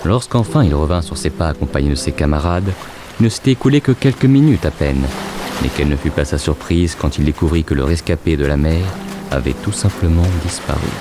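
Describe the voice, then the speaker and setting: A man narrating a scripted fantasy story in a measured, literary storytelling manner. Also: extremely low pitch, lively intonation, over music.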